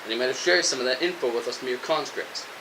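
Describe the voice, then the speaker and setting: A man's voice: mid-range voice, fast talker, lightly acted. A man playing a defiant, fed-up, hungry survivor in a post-apocalyptic audio drama, arguing with a sarcastic edge. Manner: argumentative, sarcastic. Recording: steady hiss, noisy radio-style recording.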